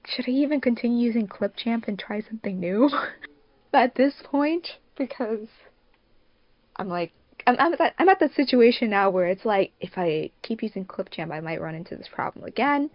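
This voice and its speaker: A woman hosting a casual podcast, talking in an animated, rambling, slangy way. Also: mid-range voice, no echo. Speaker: podcast host and fan dub producer. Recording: dull, dry lo-fi mic.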